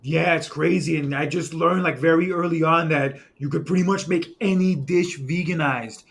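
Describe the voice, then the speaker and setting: A man hosting a podcast in a notably high voice with a gravelly, creaky edge. He talks in a laid-back way, swears, and jumps into the chat. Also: moderate pace, fairly bright tone. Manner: laid-back, profane, chimes in. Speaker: podcast host.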